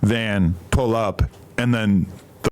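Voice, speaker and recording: A man from New Hampshire hosting a podcast interview, telling rambling stories in a relaxed, casual way. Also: fairly low pitch, takes it slowly, clean tone. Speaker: podcast host.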